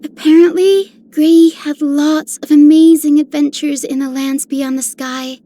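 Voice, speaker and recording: A woman's voice: high-pitched, level tone, a little slow, dark tone. An actress playing an eager, innocent young girl in a fantasy video game, full of curiosity. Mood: eager, curious. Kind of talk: scripted game character voice lines.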